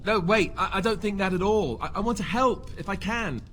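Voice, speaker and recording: A man's voice: high-pitched, lively intonation, fairly quick, fairly bright tone, slight background noise. A man playing an earnest, awkward young warrior prince in a video game, speaking hesitantly and sincerely. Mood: flustered, apologetic.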